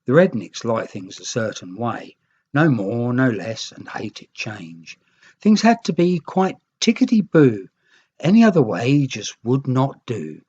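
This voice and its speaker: Slow, expressive storyteller, slightly muffled recording. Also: mid-range voice, husky. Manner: expressive, theatrical read-aloud delivery. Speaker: storyteller reading a children's book. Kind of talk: scripted storybook narration in rhyme.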